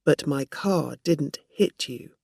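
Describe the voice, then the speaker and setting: Storybook woman, performed by a narrator: shrewd, assertive, measured delivery, dry recording. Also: mid-range voice, expressive, vocal fry, a little mellow, breathy edge, no echo, heavily acted. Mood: shrewd, confident. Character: Dorothy Burns, assertive accusing woman, shrewd and controlled.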